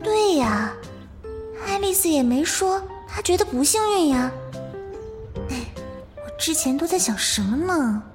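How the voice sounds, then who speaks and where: Actress as sweet, clingy young girl. High, cute, plaintive delivery, audio drama, clear music bed. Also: lively intonation, a little slow, pauses often. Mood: relieved, shy. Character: sweet, needy young girl devoted to her female companion. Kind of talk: Chinese audio drama acting.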